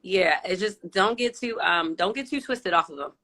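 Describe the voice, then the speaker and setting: Bright-voiced woman founder as guest, relaxed and joking, keeps saying "listen". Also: fairly low pitch, normal speed. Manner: laid-back, playful, says "listen" a lot. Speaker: guest, wine brand founder. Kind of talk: casual chat.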